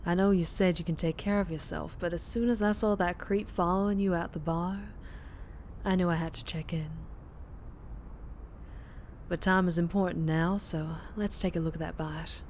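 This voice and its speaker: A woman's voice: lowish voice, fairly even intonation, normal speed, a little mellow, slight background noise, close to an everyday voice. A woman playing a fierce, flirtatious vampire biker with a Southern US accent, teasing and protective in an intimate ASMR roleplay. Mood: soothing, flirtatious.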